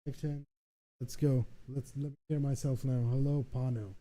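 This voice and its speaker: A man's voice: medium pitch, fairly even intonation, normal speed, dark tone. A streamer man casually mutters to himself while testing his audio settings, thinking aloud and swearing when things go wrong.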